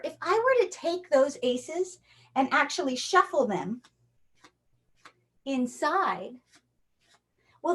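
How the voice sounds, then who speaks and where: A playful woman magician from Hawaii with a bright, high voice delivers jokey stage patter straight to the camera. She performs for an online audience, speaking into the camera mic. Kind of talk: online magic show with rhyming story patter.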